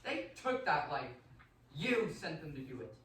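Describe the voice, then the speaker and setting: An actor playing an imperious, seductive, vengeful vampire count in a radio play, formal, theatrical and commanding. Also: male voice, on the high side, very animated, unhurried, fairly bright tone. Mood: proud, commanding. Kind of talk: amateur radio play acting. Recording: roomy, distant, faintly noisy stage recording.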